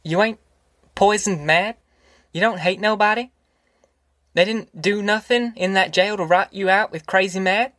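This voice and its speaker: A man's voice: high-pitched, a little slow, pauses often, a bit bright, dry recording, studio quality, voice pushed up. A man voicing an anxious, tender middle-aged farm mother in an audiobook, with a rural Southern American accent and a hesitant, earnest way of talking. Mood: timid, worried. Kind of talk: audiobook character acting.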